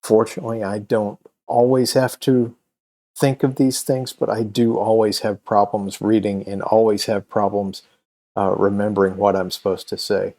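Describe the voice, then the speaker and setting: A man hosting a show, giving an informal introduction in a halting, deliberate way. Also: lowish voice, flat delivery, warm tone. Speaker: host and comedy performer.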